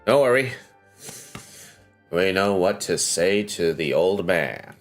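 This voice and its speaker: A man's voice: low-pitched, expressive, takes it slowly, faint music behind. A man giving casual livestream commentary on a game, hesitant and musing aloud.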